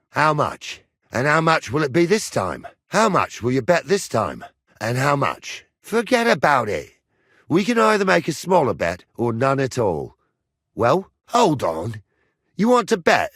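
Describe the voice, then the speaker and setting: Swaggering medieval captain, animated, dry recording. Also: male voice, medium pitch, husky, dry recording, heavily acted. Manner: colloquial, blunt, teasing, rustic turns of phrase. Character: gruff, cocky medieval captain who runs bets. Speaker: video game voice actor. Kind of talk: video game character dialogue lines.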